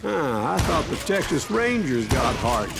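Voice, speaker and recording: An actor playing a guarded saloon keeper with an American accent, casually prodding a storyteller in a Western video game. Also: male voice, fairly high pitch, very animated, a little slow, vocal fry, heavy background noise, full character voice. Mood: guarded, probing. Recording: music over the voice.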